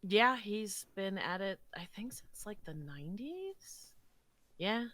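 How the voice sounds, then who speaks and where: A woman chatting casually as a podcast guest, expressive and effusive. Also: medium pitch, slow pace. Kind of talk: casual conversation.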